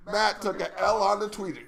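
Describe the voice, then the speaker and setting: A man co-hosting a podcast, trading casual, profane banter with loud laughing outbursts. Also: high-pitched, moderate pace, gravelly, a crowd in the background. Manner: animated, loud laughing outbursts, heavy swearing. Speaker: podcast co-host.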